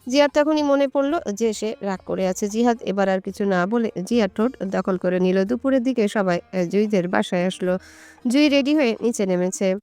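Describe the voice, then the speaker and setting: A woman narrates a scripted romance. Her voice is smooth and medium-pitched, recorded dry and close. Faint music plays behind her. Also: moderate pace, warm tone, no room sound. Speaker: storyteller.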